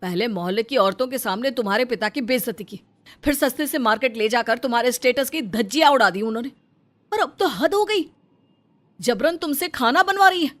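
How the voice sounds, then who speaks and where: Indignant young husband acted by a woman, animated. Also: fairly high pitch, a little fast. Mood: angry, accusing. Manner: scolding, accusing, emphatic. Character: indignant, protective young husband, hot-tempered son. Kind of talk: melodramatic Hindi moral-story cartoon acting.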